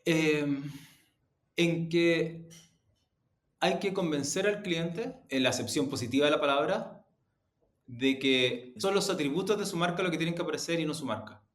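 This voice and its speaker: A man's voice: a little high, normal speed. A man with a Chilean accent giving explanatory, conversational interview answers, emphatic and often rephrasing himself as he goes. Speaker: media executive being interviewed. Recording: roomy, echoing sound.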